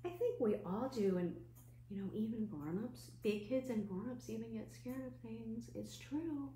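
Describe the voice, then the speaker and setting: Female children's storyteller, higher voice, unhurried, gentle and expressive; live online storytime with roomy echo. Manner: gentle, expressive, asks listeners simple questions. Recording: roomy echo, clear background noise and hum.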